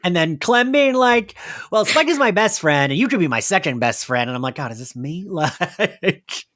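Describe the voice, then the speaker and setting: Podcast co-host, very lively intonation, giggly, casual recap chat, quoting characters. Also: mid-range voice, normal speed. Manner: giggly, animated, quotes characters.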